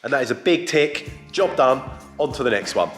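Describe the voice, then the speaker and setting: A man vlogging casually from his workshop, joking and self-deprecating, with a croaky voice. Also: mid-range voice, lively intonation, moderate pace, over loud music. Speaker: vlogger building a coffee van. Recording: echoey workshop.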